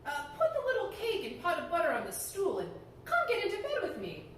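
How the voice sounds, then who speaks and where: A woman's voice: extremely high pitch, wildly swooping pitch, a little fast, a bit bright, very echoey, far from the mic, a little hiss or hum, voice pushed up, exaggerated voice. A woman voicing a sly, hungry male wolf from a fairy tale, teasing and drawn out.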